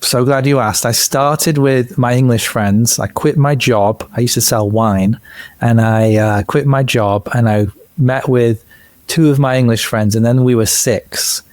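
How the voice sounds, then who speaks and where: A man's voice: medium pitch, fairly even intonation, a little slow, darkish tone, no room sound. A man hosting an interview, curious and eager, chiming in with questions and his own stories. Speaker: interview host. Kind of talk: casual interview chat.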